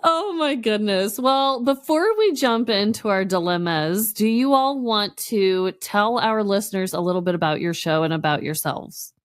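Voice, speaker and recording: A woman co-hosting a podcast in casual comedic chat, with expressive, giggly reactions. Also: mid-range voice, normal speed, few pauses, clean tone, dry recording, clean studio sound. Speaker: podcast co-host.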